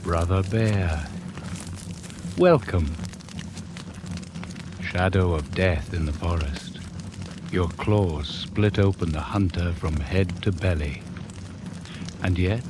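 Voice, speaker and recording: An actor playing a solemn hunter-shaman in a 1980s radio drama, chanting slow, ceremonial poetic invocations. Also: low voice. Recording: noisy old off-air radio recording.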